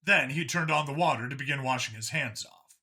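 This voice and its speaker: A man's voice: mid-range voice, brisk, bright, forceful tone, slightly rough. A man narrating a scripted science fiction story as an audiobook reader.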